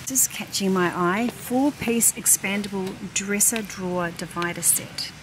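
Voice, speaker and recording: A woman's voice: mid-range voice, a little slow. A woman, chatting to the camera while shopping and reading out prices as she goes. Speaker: vlogger. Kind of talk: shop-with-me vlog narration. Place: large warehouse store, indoors. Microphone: handheld phone camera. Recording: noisy warehouse store ambience. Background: store ambience.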